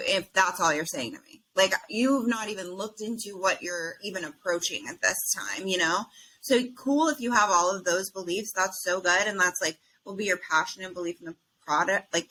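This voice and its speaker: A woman talks as a podcast guest, blunt and animated, peppering her speech with "like." Her voice is bright and forward at a mid pitch. She is calling in remotely from outdoors, with faint ambient noise behind her. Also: poor audio. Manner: frank, emphatic, says "like" and "you know" a lot. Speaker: cannabis business consultant, guest. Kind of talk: casual podcast interview. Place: outdoors on an island.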